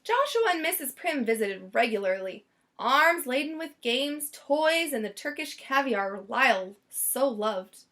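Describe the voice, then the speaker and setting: High-pitched, expressive woman storyteller. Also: measured pace. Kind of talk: expressive children's picture-book read-aloud.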